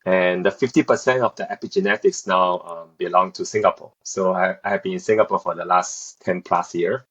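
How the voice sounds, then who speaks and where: A man's voice: low voice, fairly even intonation, fairly quick, fairly bright tone, husky, muffled recording. A man in his thirties, from South Korea and raised in Beijing, answering interview questions as a researcher, hesitant with many fillers and restarts. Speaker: researcher, assistant professor.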